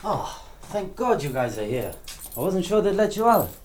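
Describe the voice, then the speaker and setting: A man's voice: high-pitched, sing-song, normal speed, background noise. An actor playing a young, pragmatic male activist in a radio drama, talking in an emphatic, persuasive way. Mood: reassuring, urgent. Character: young male Berlin activist, pragmatic, insistent, defensive under pressure.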